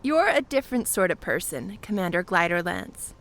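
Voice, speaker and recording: A woman's voice: on the low side, big pitch swings, normal speed, fairly bright tone, slight background noise. A woman playing a young airship pilot in an audio drama, practical and curious, talking in a frank, conversational way.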